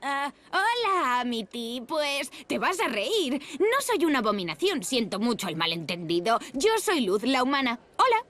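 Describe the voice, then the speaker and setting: High, lively teenage girl. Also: moderate pace. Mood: friendly, awkward. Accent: Castilian Spanish. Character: cheerful, plucky teenage human girl, apprentice witch. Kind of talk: cartoon dub. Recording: music behind the voice.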